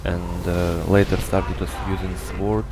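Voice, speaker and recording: A man with a Russian accent, native Russian speaker, giving a hesitant, halting game guide commentary full of filler sounds. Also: deep voice, flat delivery, noisy background. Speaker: gamer giving a build guide.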